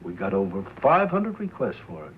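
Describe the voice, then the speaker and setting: A man's voice: sits low, very animated, normal speed. Fussy, earnest small-town American businessman. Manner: fussy, polite, literal-minded, hesitant. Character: prim, earnest small-town radio station owner. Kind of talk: 1950s film drama acting. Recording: dull noisy 1950s film soundtrack.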